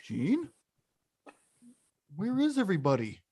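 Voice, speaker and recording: A woman voicing a big, hot-tempered but caring male video game villain in a script read, with a blunt, gruff delivery. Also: mid-range voice, wildly swooping pitch, slow, mellow, no room sound, full character voice. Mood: lost, dejected. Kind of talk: animated film script table read.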